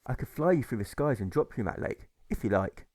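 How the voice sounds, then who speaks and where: A man voicing a smooth-talking, scheming owl in an audiobook fable, persuasive and gloating. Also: mid-range voice, animated, fast talker, darkish tone, no room sound, natural voice. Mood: coaxing.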